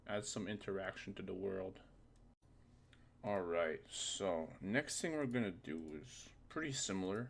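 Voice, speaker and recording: A man's voice: low-pitched, unhurried, vocal fry. A man presenting a casual screen-recorded game modding tutorial, informally thinking aloud with some hesitant repetition. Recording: faint noise, keyboard clicks.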